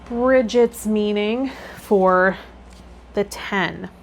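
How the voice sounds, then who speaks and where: A woman's voice: medium pitch, drawn-out words, vocal fry, a little hiss or hum. A woman hosting a tarot video, reading card meanings aloud and adding casual commentary.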